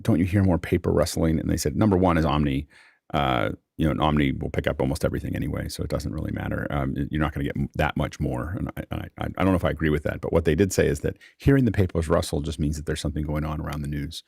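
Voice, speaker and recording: A man's voice: extremely low pitch, steady tone, fast talker, vocal fry, a little mellow, slightly rough, no room sound, clean studio sound. A man hosting a casual panel discussion, giving rambling, anecdotal explanations as moderator. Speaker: host and moderator.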